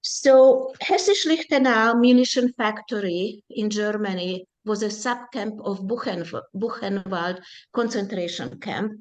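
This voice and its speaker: Slow-spoken older woman, Hungarian native. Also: medium pitch, rough recording. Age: 70s. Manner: slow, deliberate storytelling. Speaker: presenter at a support group. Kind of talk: personal testimony talk.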